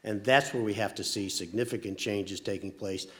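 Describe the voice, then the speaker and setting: A man's voice: fairly low pitch, steady tone, normal speed. A man making emphatic panel remarks, often repeating words as he starts a sentence.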